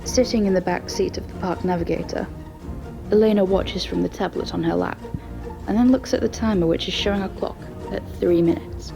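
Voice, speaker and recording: A woman's voice: mid-range voice, a little fast, creaky, over music. A woman narrating a scripted audio story.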